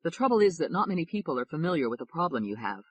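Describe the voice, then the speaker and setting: A woman voicing a modest, earnest young male lawyer, speaking in a quiet, measured way. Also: low-pitched, sing-song, slightly rough, no room sound, exaggerated voice.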